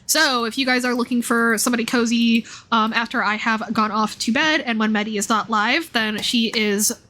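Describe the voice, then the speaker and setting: Bright, high-voiced woman streaming casually. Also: fairly even intonation, normal speed. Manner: informal, playful, slangy. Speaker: live game streamer. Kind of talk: casual live stream chat over gameplay.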